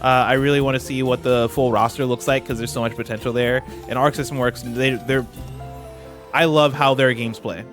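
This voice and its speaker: A man's voice: medium pitch, fairly even intonation, normal speed, a bit bright. A man streaming casual live reaction commentary, talking in a slangy, profane way. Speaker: streamer and host. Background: game showcase audio and music.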